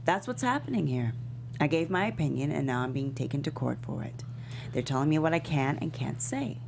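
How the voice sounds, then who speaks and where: A woman's voice: low voice, lively intonation, brisk, vocal fry, noisy background. A woman at a script table read playing a proud, wounded and principled female talk show host. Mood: frustrated, afraid.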